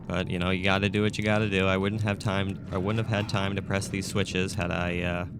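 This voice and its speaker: A man with a scratchy, raspy throat, casually commentating a video game as he plays. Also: low-pitched, level tone, brisk. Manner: chatty, thinks aloud with fillers. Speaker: gameplay commentator. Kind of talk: casual let's-play commentary.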